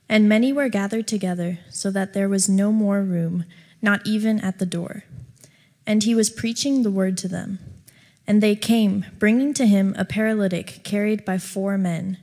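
A woman reading a scripture passage aloud to a congregation in a steady, measured way. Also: mid-range voice. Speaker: scripture reader. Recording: long church-hall reverb.

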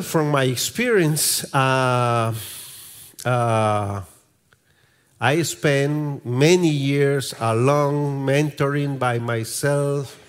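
Middle-aged man, Peruvian Spanish accent, very slow, halting, searching for words, mid pitch. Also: clean tone. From Peru. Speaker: guest pastor. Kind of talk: church interview.

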